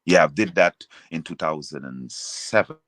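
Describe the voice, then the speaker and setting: A man's voice: rumbling low, lively intonation, moderate pace, a bit bright, no echo. A man with a Jamaican accent chatting casually as a singer being interviewed and promoting himself.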